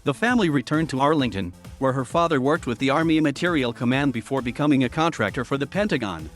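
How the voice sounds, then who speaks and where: A man reading a scripted biography narration in an even, flat manner. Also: medium pitch, fast talker. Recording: faint music underneath.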